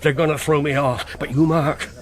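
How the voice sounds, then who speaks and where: An actor playing a wily middle-aged pirate captain in a radio drama, with a theatrical seafaring dialect, moving between wheedling joviality and commanding menace. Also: male voice, fairly high pitch, steady tone, moderate pace, close to an everyday voice. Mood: grave, confiding.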